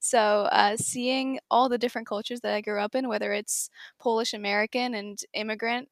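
A woman's voice: a little high, steady tone, moderate pace, no echo. A sixteen-year-old girl from Seattle, answering interview questions casually and eagerly, with frequent fillers. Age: teens. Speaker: guest, nonprofit founder.

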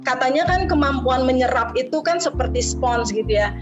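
A woman's voice: high voice, lively intonation, normal speed, lo-fi sound, music in the background. A woman in her thirties from Jakarta with a slight Betawi accent, talking casually as an interview guest and mixing in English words. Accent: Betawi-tinged Jakarta Indonesian. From Jakarta, Indonesia. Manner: chatty, mixes in English words, frequent fillers.

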